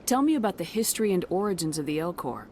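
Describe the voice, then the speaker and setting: A woman playing a direct, inquisitive human space soldier in a video game, asking questions briskly. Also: fairly low pitch, big pitch swings, darkish tone, slight background noise. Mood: curious, direct. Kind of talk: video game character dialogue.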